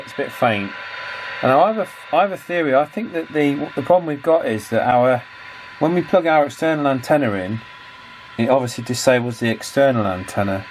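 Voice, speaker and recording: A man's voice: mid-range voice, slight background noise, poor audio. A man giving informal hands-on repair commentary as the host, explaining things as he thinks aloud. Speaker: radio repair hobbyist and video host.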